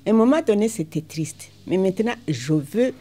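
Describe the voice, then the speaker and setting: A woman's voice: medium pitch, animated, moderate pace, dark tone. A woman from Rwanda giving heartfelt personal testimony, repeating key words for emphasis. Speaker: interviewee.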